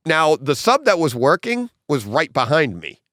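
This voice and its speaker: Man, middle-aged, home theater podcast host, slightly hoarse, lively storyteller, dry close sound. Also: fairly high pitch, normal speed, no echo. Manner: animated storyteller, acts out quotes. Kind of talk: casual home theater chat.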